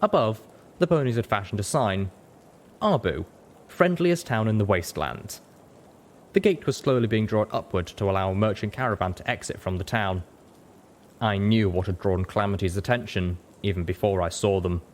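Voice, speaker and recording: A man reading a story aloud as a dramatic audiobook narrator. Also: brisk. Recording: rumbling background noise.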